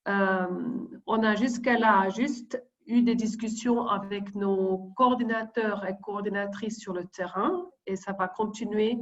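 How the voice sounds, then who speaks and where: A woman reads her prepared opening remarks at a middling pitch, stopping often to restart a phrase. The sound is a muffled, lo-fi video call. She is a deputy coordinator speaking to colleagues. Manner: hesitant, many fillers and restarts while reading.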